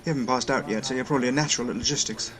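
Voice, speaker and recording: An actor playing a brisk, wordy station logistics manager in a sci-fi audio drama, explaining things with dry wit. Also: male voice, medium pitch, fairly bright tone, natural voice. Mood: dry, matter-of-fact. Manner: chatty, wry, long-winded explanations.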